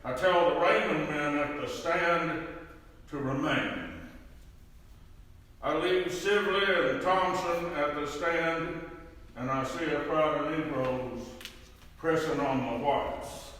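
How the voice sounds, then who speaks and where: Man acting slow, solemn witness in echoing hall. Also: fairly high pitch, a bit bright. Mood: grave. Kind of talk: dramatic reading of testimony. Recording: echoey hall, distant mic.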